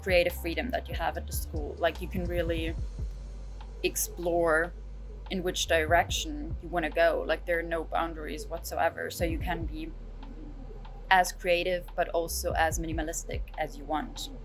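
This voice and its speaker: A woman's voice: low voice, level tone, moderate pace. A woman in her twenties from Austria, a fashion graduate giving chatty interview answers full of "like". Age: 20s. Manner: chatty, many "like" fillers. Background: music.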